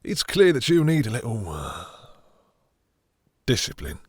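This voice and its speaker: A man playing a possessive, domineering vampire master in an intimate roleplay audio drama, with slow, theatrical, condescending menace and a breathy, seductive purr. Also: mid-range voice, wildly swooping pitch, no room sound, clean studio sound, full character voice. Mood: commanding, menacing.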